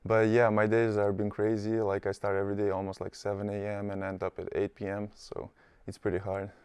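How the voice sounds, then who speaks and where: A man's voice: lowish voice, monotone, brisk, darkish tone, clear, resonant tone, dry recording. A young man from Serbia with a Serbian accent, answering interview questions casually with many fillers in a studio. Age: young adult. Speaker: college basketball player, guest. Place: studio set.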